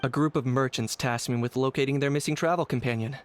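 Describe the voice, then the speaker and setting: A man plays a young bounty hunter in a video game. His middle-pitched voice is blunt and dry-witted, with a gruff edge. Faint ambient noise sits behind it. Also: fairly even intonation, quick pace, natural voice.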